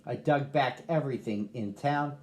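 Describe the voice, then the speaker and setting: A man reading a rhyming picture book aloud to children, with a slow, sing-song rhythm. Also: mid-range voice, a little mellow. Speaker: storybook reader.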